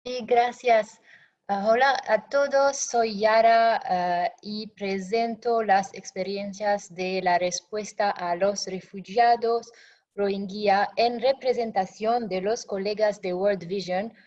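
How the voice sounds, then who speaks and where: A woman presenting slides in a webinar in a language that is not her native one, pausing often with fillers and restarting words. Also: mid-range voice, darkish tone, muffled recording. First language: not Spanish. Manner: halting, frequent fillers and self-corrections.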